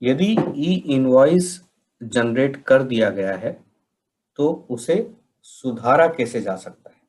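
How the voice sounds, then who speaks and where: A man from India presenting a question-and-answer tutorial in a deliberate way, pausing between phrases. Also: mid-range voice, expressive, darkish tone, low-quality recording. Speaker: presenter of a software training session.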